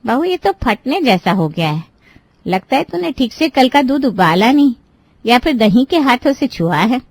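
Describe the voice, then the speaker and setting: A woman's voice: on the high side, big pitch swings, moderate pace, dry recording, pitched above the performer's own voice. A woman voicing a long-suffering older mother-in-law who scolds reproachfully, in a cartoon moral story. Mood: displeased.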